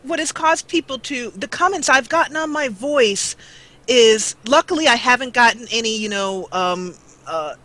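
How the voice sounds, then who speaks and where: Bright-voiced woman chatting casually. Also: expressive, moderate pace. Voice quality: low-voiced. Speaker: guest, gaming video maker and role-player. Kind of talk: casual chat.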